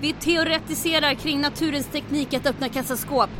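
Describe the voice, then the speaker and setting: A woman's voice: fairly even intonation, close to an everyday voice. A woman acting a bold, commanding, unflappable aeroplane captain in an adventure radio drama. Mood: brisk, commanding. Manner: brisk, commanding, confident. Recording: aircraft engine rumble.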